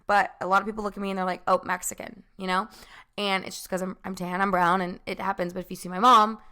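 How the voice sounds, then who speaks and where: A young woman telling a personal story in a casual, chatty way, full of fillers and quick asides. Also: mid-range voice, flat delivery, pushed and bright, smooth. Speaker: vlogger.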